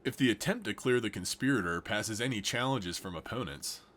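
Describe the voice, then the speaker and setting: A man's voice: lowish voice, lively intonation, a little fast, a bit bright. A man explaining the rules of a card game in a scripted tutorial, plainly at first and then with jokey asides. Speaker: game demonstrator.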